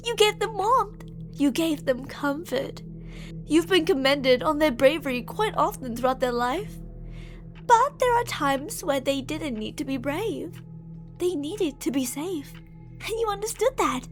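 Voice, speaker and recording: A woman's voice: sits high, animated, faint music behind. A woman voicing an obsessive, menacing shapeshifting monster who coaxes and taunts intimately in an ASMR horror roleplay. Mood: tender, intense. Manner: intimate, taunting, possessive, with drawn-out phrases. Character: obsessive female shapeshifting monster posing as a lover, menacing, coaxing.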